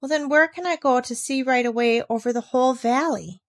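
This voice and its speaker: A woman's voice: high-pitched, a little fast. A woman reading a storybook as an innocent, earnest, curious little girl. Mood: disappointed, curious.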